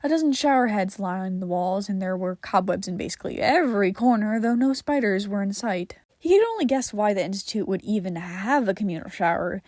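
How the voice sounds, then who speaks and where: A woman's voice: medium pitch, expressive, fairly quick, hardly any pauses, a little mellow, no room sound, clean studio sound. A woman reading scripted fiction aloud as a narrator.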